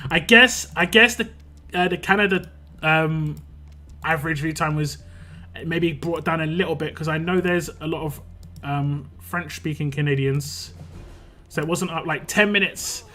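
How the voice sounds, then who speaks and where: A man's voice: a little high, bright, forceful tone, soft background music. A young man with a West London accent, chatting casually and rambling with restarts while streaming a game. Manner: rambling, talks fast with frequent restarts and fillers. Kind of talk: casual live-stream chat while gaming.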